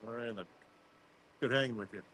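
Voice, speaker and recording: A man's voice: medium pitch, fairly quick, fairly bright tone, no room sound. A man in casual conversation, speaking in an understated way. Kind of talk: casual chat.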